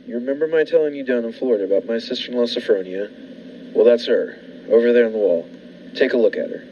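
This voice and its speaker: A man's voice: mid-range voice, fast talker, slight background noise, natural voice. A man playing a brusque, controlling hotel-owner bridegroom in an old-fashioned radio thriller, with a curt, ominous delivery. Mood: cold, commanding. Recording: muffled lo-fi radio play with music.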